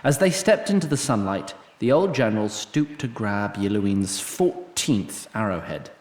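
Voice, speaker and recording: A man narrating a scripted fantasy story in a measured, literary way. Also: on the low side, very animated, darkish tone. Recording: roomy sound, faint noise.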